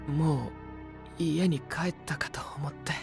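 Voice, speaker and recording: An actor playing a quiet, caring young knight in an anime-style voice drama, speaking softly and breathily. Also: male voice, a little high, steady tone, measured pace, gravelly, over music. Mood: strained, weak. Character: young male knight, quiet, caring, worried loyal friend.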